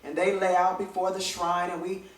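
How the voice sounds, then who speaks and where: An older woman on a discussion panel, telling stories in an animated way with a big, full voice. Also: lowish voice, moderate pace, a little hiss or hum. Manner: animated storytelling. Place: event hall. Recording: echoey room, distant mic.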